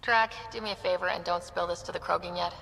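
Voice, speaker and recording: A woman's voice: on the low side, steady tone, fast, creaky, fairly bright tone, husky, heavily acted. A woman playing a determined, wry space explorer leader in a video game, assertive and sardonic. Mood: irritated, wry.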